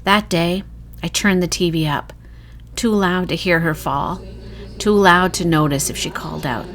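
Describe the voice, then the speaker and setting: A woman reading a first-person horror short story in a dramatic, emotive, hushed storytelling manner. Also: lowish voice, measured pace.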